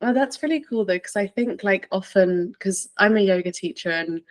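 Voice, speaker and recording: A woman's voice: medium pitch, moderate pace. A woman hosting a podcast, talking in a casual, conversational interviewing style. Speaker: podcast host, yoga teacher.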